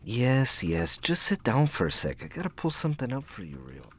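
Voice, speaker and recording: A man playing an earnest, troubled but determined young space cadet in an audio drama, speaking in a halting, urgent way. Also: medium pitch, huge pitch swings, breathy edge, voice pushed up. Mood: hurried, focused.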